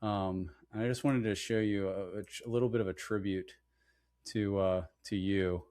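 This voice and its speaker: A man's voice: on the low side, fairly even intonation, moderate pace, darkish tone. A man hosting an interview podcast over a video call, talking in a friendly, rambling way with lots of restarts and some teasing. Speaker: podcast host. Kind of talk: casual interview chat.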